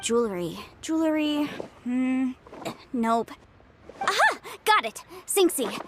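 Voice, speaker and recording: An actress playing a confident young legal advisor in a game, brisk, self-assured and a little playful. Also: female voice, high voice, sing-song, very noisy, heavily acted. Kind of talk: game voice acting. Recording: studio voice over game music.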